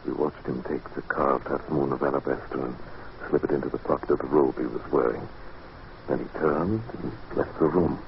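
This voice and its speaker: A low-voiced man, a curious and uneasy guest recounting a mystery in measured, dramatic radio style. The sound is dull and noisy, like an old broadcast. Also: steady tone, gravelly. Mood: hushed, suspenseful. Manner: measured, dramatic, musing delivery. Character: male narrator Buchan, curious, uneasy house guest. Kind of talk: old time radio drama acting, first-person narration.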